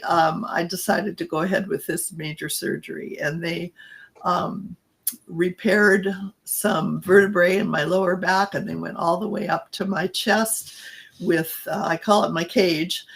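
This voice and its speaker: A woman co-hosting a podcast, her voice scratchy and gravelly with a husky, breathy edge. She talks in a halting, reflective way, trailing off and restarting as she tells her own story. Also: on the low side, steady tone. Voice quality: scratchy, raspy voice. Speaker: podcast co-host and coach. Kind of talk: personal storytelling in casual chat.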